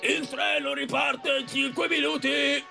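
A man voicing a shouting train conductor with a gravelly, shrill voice in an audio drama. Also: level tone, a little slow, soft background music, heavily acted. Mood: urgent announcing.

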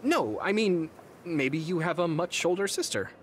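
An actor playing an earnest, polite, slightly awkward young soldier in an anime-style video game, speaking hesitantly. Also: male voice, a little high, sing-song, normal speed, a little hiss or hum. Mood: puzzled, insistent.

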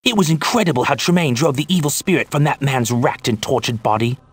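An ordinary townsman from a fantasy game , acted by a man, husky and mid-pitched. He speaks earnestly, with fearful awe. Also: a little fast, close to an everyday voice. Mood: awed, uneasy. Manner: solemn, earnest.

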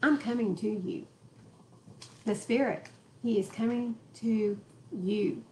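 A woman's voice: mid-range voice, takes it slowly, mellow, a little hiss or hum, rough recording. A woman leading a home Bible study, stressing words and joking with the group, recorded by a camera in a living room. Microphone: far camera in the room.

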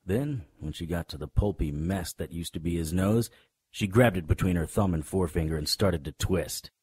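A man's voice: deep voice, moderate pace, darkish tone, breathy edge, dry recording. An actor playing a hard-boiled, wisecracking private detective, narrating a noir radio drama in a wry, tough-guy manner. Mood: wry narration.

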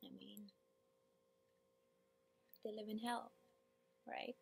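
A woman performing a theatrical monologue as a hurt, defiant, foul-mouthed young working-class woman, repeating herself haltingly as she builds. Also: medium pitch, level tone, creaky, a little mellow, husky, full character voice. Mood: angry, defiant. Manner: halting and repetitive, building to outbursts, swearing.

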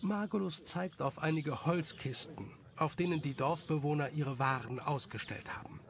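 A man's voice: on the high side, moderate pace. A man reading scripted story narration as the narrator.

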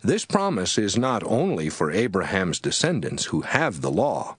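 A man reading scripture as a scripted audiobook, with an emphatic, measured and rhetorical delivery. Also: deep voice, big pitch swings, breathy edge, no echo. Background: music under the voice.

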